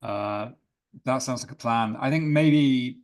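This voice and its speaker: A man playing a tabletop roleplaying game, describing his character's actions in measured, storylike phrases. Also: mid-range voice.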